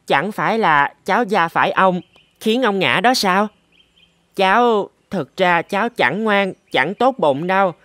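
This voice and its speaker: An actor playing a playful, mischievous little boy elephant in a children's cartoon, with a childlike, expressive delivery. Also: medium pitch, no room sound. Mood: bashful. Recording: music bed behind the voice.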